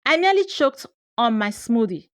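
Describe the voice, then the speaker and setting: A woman with a Nigerian accent telling a scripted first-person story in an expressive, theatrical way. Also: a little high, moderate pace, clear, resonant tone, dry recording. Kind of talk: dramatic first-person scripted folktale narration.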